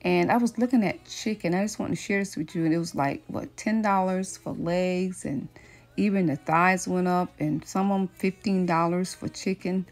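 A woman's voice: lowish voice, normal speed. A middle-aged woman, chatting casually and folksily to her viewers as a lifestyle vlogger. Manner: folksy, addresses viewers as friends and y'all. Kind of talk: casual motivational chat to viewers. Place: home kitchen, indoors.

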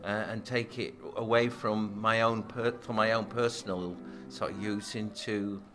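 A man, a musician and studio owner, answering interview questions in a halting way, restarting his sentences often. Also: lowish voice. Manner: halting, stumbling restarts, flat delivery.

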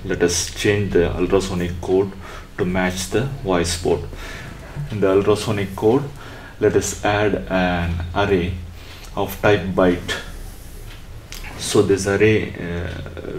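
A man narrating a coding tutorial step by step, unscripted, in a halting way. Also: low voice, darkish tone. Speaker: electronics tutorial presenter. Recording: roomy echo with faint background noise.

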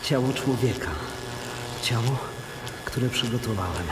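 An actor playing an anguished, obsessed and haunted young scientist in a radio drama, speaking in halting, repeated fragments. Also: male voice, medium pitch, roomy sound, very noisy, natural voice. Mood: grave obsession. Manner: halting, fragmented, repetitive delivery.

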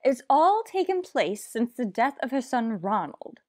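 A woman's voice: on the high side, sing-song, a little slow, no room sound, studio quality. A woman playing a formal, matter-of-fact household telephone answerer in an old-time radio drama, polite and grave.

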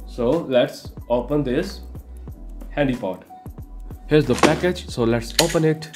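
A man's voice: medium pitch, measured pace, reverberant, loud music behind the voice. A young man from India with an Indian accent, speaking English as a second language in a casual tech unboxing with lots of "you know" fillers. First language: not English. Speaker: tech reviewer. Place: indoor studio room with curtains.

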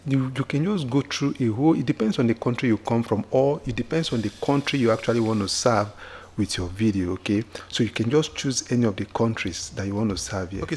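A man walking viewers through a software tutorial, often repeating himself and checking in with "okay?". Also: medium pitch, slight background noise. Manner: repetitive, with frequent "okay?" checks. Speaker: tutorial host. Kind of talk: screen-recorded software tutorial. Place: indoors at a computer, voice-over on screen capture.

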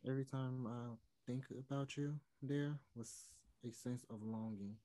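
A man's voice: mid-range voice, fairly even intonation, a little slow, warm tone, natural voice. A man in his twenties playing a remorseful, earnest man who longs for his first love, in a play read over a video call. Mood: sincere. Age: 20s. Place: room at home. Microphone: computer mic on a video call.

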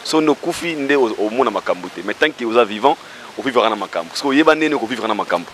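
A man's voice: medium pitch, lively intonation, fast talker, fairly bright tone, slight background noise. A man, a talk show guest speaking in a rambling, hesitant way with many fillers, outdoors into a handheld mic. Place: outdoor garden by a wall. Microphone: handheld mic held by host.

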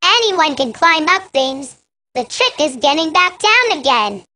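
A woman's voice: high voice, big pitch swings, a little slow, sharp tone, exaggerated voice. A woman voicing a confident, bossy young girl animal in a children's learning game. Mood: knowing. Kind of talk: children's educational game acting.

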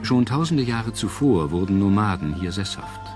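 A man reading scripted documentary narration in a measured, articulate storytelling manner. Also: fairly low pitch, animated. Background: background music.

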